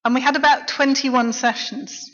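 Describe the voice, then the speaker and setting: A middle-aged woman, a local preacher, giving a quiet, reflective personal testimony in church. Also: sits high, fairly even intonation, normal speed. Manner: soft-spoken, reflective. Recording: dull, echoey church recording.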